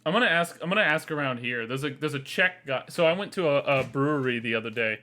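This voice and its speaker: Co-host, young man, twenties; bright forceful voice, loose crude banter, quick to laugh. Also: medium pitch, animated. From Florida. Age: 20s. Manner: laughs often, crude and joking. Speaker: podcast co-host. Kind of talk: casual podcast banter.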